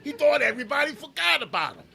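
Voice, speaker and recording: A middle-aged man playing a sly, loyal male valet in a recreated 1950s radio comedy, with a drawling, gravelly comic delivery. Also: very high voice, very animated, fast, bright, forceful tone, full character voice.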